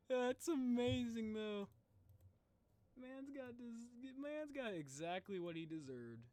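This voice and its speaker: A man streaming a casual reaction, thinking out loud in slangy talk and addressing his viewers directly. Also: very high-pitched, lively intonation, slow pace, long pauses. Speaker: streamer, video host. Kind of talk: casual livestream reaction chat.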